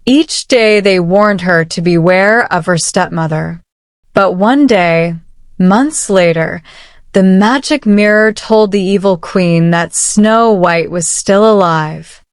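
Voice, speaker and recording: A woman narrating a children's picture book aloud with measured, careful phrasing. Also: medium pitch, smooth, dry recording, studio quality. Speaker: storybook narrator. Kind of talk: children's read-aloud storytelling. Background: soft music.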